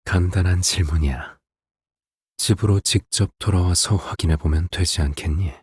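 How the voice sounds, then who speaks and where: An actor playing a calm, menacing middle-aged assassin father in a video game, speaking gently and without hurry to his daughter. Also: deep voice, breathy edge, long reverb tail. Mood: wistful, quiet. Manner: gentle, unhurried, eerily calm, paternal. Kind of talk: video game character voice lines.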